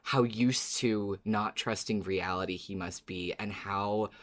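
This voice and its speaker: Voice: low voice, fairly even intonation, unhurried, a bit bright. A podcast co-host in casual fan discussion, speaking informally with self-deprecating asides and casual swearing.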